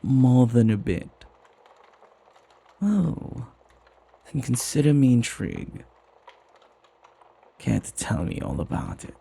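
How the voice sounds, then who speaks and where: Guy doing a flirty butler for asmr, slow n husky, doting on his master, formal wording. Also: medium pitch, huge pitch swings, mellow, exaggerated voice. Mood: teasing, curious. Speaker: ASMR roleplay voice actor. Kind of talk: ASMR roleplay acting.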